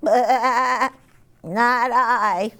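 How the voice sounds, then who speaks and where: An unhelpful storybook goat, played by a woman, raised in pitch. It bleats, then hesitates, in short reluctant replies. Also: fairly even intonation, very slow, fairly bright tone, clean tone. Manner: bleats and hesitates before answering.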